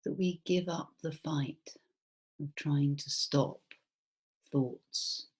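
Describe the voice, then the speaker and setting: A woman guiding a body scan meditation as a mindfulness teacher, speaking softly and soothingly in a breathy voice with long pauses. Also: low voice, fairly even intonation.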